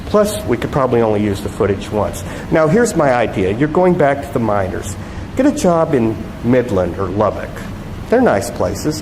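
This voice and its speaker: A man voicing a dry, sardonic male TV news director with deadpan sarcasm, reading from a novel. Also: mid-range voice. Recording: echoey hall with loud background noise.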